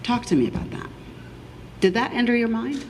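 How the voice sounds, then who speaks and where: An interviewer asking questions in an earnest, measured manner. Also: medium pitch, animated, a little hiss or hum.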